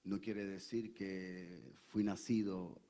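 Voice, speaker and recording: A man, a pastor, tells his faith testimony in a church interview in an earnest, halting way, pausing on many fillers and also interpreting his wife's words. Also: sits low, flat delivery, mellow. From Puerto Rico. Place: church sanctuary.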